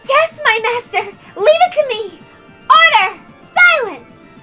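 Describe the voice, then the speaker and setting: A woman voicing a bossy, gleeful girl detective from a visual novel, theatrical, shrill and imperious. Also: animated, slow, loud music behind the voice, exaggerated voice. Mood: gleeful, commanding. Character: bossy, gleeful young girl detective making a grand accusation. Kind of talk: animated visual novel character reading.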